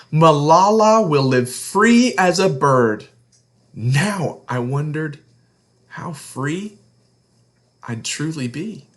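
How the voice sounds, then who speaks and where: A man reading a picture book aloud to children as a read-along host, with deliberate, emphatic phrasing. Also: a little high. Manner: deliberate phrasing, emphatic, explains words to young listeners.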